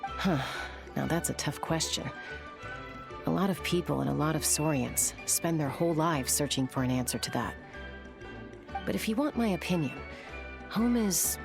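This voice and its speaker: A woman playing a caring, thoughtful young woman in a video game, steady and reassuring. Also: low-pitched, normal speed, vocal fry, long pauses, over music.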